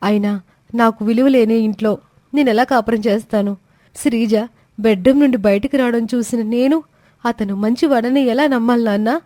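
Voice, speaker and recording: A woman's voice: a little high, normal speed, dark tone, dry recording, studio quality, close to an everyday voice. A woman voicing a hurt, stubborn young wife in a family story, indignant and questioning. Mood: hurt, defiant.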